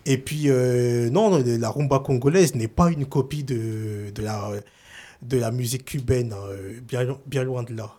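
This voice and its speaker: Male guest from France, relaxed explainer, lots of "euh", mid pitch. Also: a little slow. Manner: hesitant, many fillers. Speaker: journalist and author guest. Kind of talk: casual talk-show chat.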